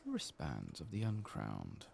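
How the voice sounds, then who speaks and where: Male ASMR game host: low-pitched, lilting soft murmur that slips into whispers, faint noise behind. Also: wildly swooping pitch, takes it slowly, gravelly. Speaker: gaming channel host.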